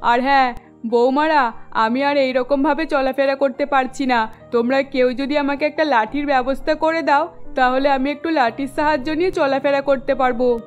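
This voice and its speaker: Woman as an ailing, high-voiced elderly mother-in-law. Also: steady tone, moderate pace, dull audio, close to an everyday voice. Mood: weary. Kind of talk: cartoon dialogue. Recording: music bed under the voice.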